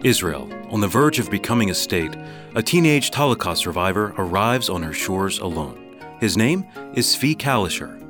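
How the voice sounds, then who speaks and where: A man's voice: lowish voice, big pitch swings, normal speed. Male promo announcer over guitar music. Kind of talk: scripted promo narration.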